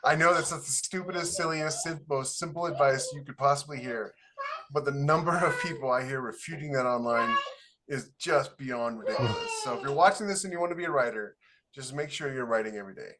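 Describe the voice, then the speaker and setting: A man's voice: medium pitch, a little fast. A man co-hosting a casual podcast, talking bluntly and jokingly to listeners. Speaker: podcast co-host and writer. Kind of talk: casual chat with advice to listeners. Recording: noticeable background noise.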